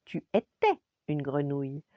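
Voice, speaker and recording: A woman voicing an excited, bossy little princess girl in a storybook tale, gushing and insistent. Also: low-pitched, measured pace, no echo, lo-fi sound.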